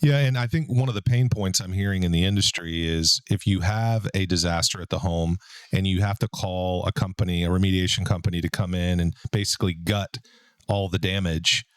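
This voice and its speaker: A man in his forties with a deep baritone voice, hosting a casual podcast and telling stories in a relaxed way. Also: fairly quick, clean tone, no echo. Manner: relaxed, storytelling, frequent fillers. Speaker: podcast host and business owner. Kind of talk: casual conversational podcast talk.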